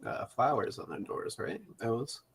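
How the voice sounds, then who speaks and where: A man's voice: mid-range voice, lively intonation, normal speed, gravelly, slightly rough. A man playing a tabletop game over a video call, chatting casually and often checking with the others. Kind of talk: casual planning chat between players.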